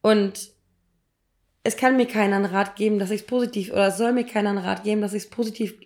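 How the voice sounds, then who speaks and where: A woman in her thirties, hoarse from illness, tells personal stories in a casual, emphatic, slangy way. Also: mid-range voice, steady tone, quick pace, a little mellow. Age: 30s. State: hoarse, ill. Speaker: podcast co-host.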